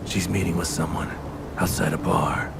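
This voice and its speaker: An actor playing a grim, determined masked vigilante in a video game, speaking tersely in a gravelly voice. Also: male voice, low voice, flat delivery, normal speed, very noisy. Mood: grim, curt. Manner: terse, clipped. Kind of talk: video game cutscene acting. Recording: loud music behind.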